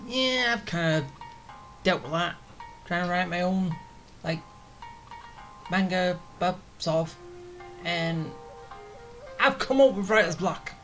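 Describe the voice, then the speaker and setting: A game streamer giving casual commentary in a halting, self-deprecating way. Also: medium pitch, expressive, a bit bright, low-quality recording. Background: game music.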